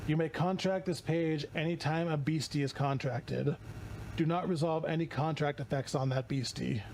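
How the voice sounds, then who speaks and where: A hoarse man streaming casually while opening card packs, rambling, swearing and often restarting his sentences. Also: on the high side, normal speed, a little mellow, slight background noise.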